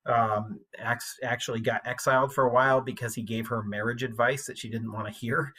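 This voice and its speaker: A man giving an informal English lecture, explaining things in a conversational, colloquial way like a high school teacher. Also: steady tone, a little fast, sharp tone, breathy edge.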